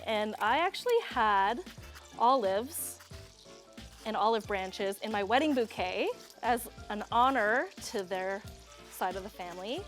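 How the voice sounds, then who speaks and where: Chatty young woman cooking host, higher voice. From Serbia. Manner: chatty, laughs often, wanders into family stories. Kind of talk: casual cooking demonstration. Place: TV studio kitchen set.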